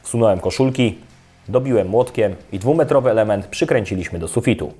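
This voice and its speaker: A young adult man giving a calm, scripted step-by-step voice-over for an electrical installation video. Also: on the low side, brisk. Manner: calm, even, step-by-step instructional delivery. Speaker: electrician presenting a how-to video. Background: soft guitar music.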